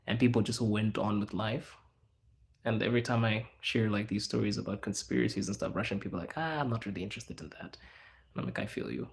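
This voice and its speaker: A young man hosting a casual livestream, answering chat in a hushed inside voice because people are around him. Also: fairly low pitch, vocal fry. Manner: speaking quietly in an inside voice, laid back. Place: out in public in a city, people around.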